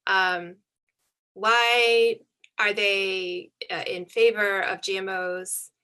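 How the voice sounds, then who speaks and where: Woman author, bright tense tone, reflective and searching, on a video call. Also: mid-range voice, fairly even intonation, extremely slow, clean tone. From United States. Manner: thoughtful, searching, frequent fillers and restarts. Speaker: author and panelist. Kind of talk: reflective conversation.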